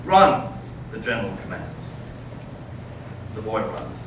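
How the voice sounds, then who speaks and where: A man's voice: lowish voice, big pitch swings, slow, full character voice. Echoey, noisy distant recording. Male actor as an anguished, mocking intellectual elder brother, dramatic stage storytelling. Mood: grim, intense. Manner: dramatic, swinging from hushed to impassioned. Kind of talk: staged readers theater monologue and storytelling. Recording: echoey stage, distant mic, clear noise.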